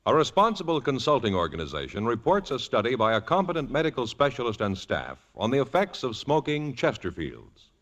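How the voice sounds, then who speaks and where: A man reading a scripted 1950s radio advertisement as announcer, formal and authoritative. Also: medium pitch. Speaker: commercial announcer. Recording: muffled old radio recording.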